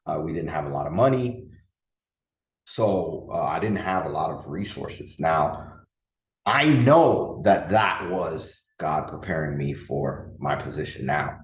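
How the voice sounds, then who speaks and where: A man's voice: rumbling low, lively intonation, unhurried, far from the mic. A man in his thirties, originally from the Dominican Republic, hosting a casual solo podcast as a coach, talking earnestly with many fillers and restarts. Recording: echoey room.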